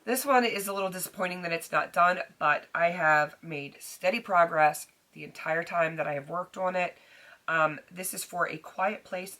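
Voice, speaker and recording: A middle-aged woman with a raspy, slightly hoarse voice, chatting casually to camera about beauty products, with frequent ums and restarts. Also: deep voice, pushed and bright. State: recovering hoarse voice. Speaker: beauty vlogger.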